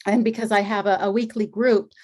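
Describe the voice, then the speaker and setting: A middle-aged woman talks about her path into energy healing in an easygoing interview style. Her voice sits at a medium pitch. She brings her anecdotes to life by acting out the lines of the people in them. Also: brisk. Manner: animated storyteller acting out quoted dialogue. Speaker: energy healer and acupuncturist. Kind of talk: relaxed storytelling interview.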